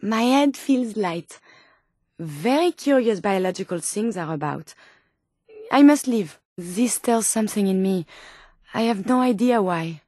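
A woman's voice: mid-range voice, lively intonation, unhurried, a little mellow, no echo, clean studio sound. An actress voicing a polite, curious female robot scientist from a video game, with a French accent and formal, precise, earnest phrasing. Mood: flustered, emotional. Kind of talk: video game companion dialogue.

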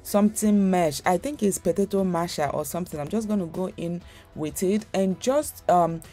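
This is a young woman hosting her own cooking channel, talking through a recipe. Her voice sits low and sounds warm and mellow. She rambles casually, stopping to correct herself and filling gaps with fillers, while faint music plays behind her. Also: normal speed. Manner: chatty, rambling, many fillers and restarts.

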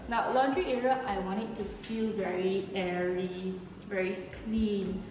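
A woman's voice: mid-range voice, fairly even intonation, a little slow, recorded from a distance, background noise. A woman in her thirties from Malaysia, chatty and explanatory, giving a walkthrough of a house being renovated, filmed with a handheld camera a few feet away. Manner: chatty and explanatory, with frequent "um" and gesturing. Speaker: home renovation vlogger. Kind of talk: casual house tour walkthrough. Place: empty house with bare rooms and stairwell, indoors. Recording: echoey empty rooms, voice a bit off-mic.

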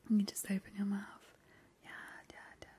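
A woman playing a playfully creepy, doting vampire in a breathy, cooing ASMR whisper. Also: medium pitch, completely flat delivery, warm tone, close to an everyday voice. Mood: teasing, coaxing. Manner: slow, lingering, cooing. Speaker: ASMR performer. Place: small indoor kitchen.

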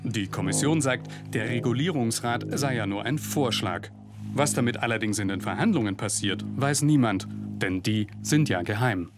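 A man's voice: mid-range voice, moderate pace. A man reading a scripted investigative news report as a voice-over, with pointed, ironic emphasis. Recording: narration over loud music bed.

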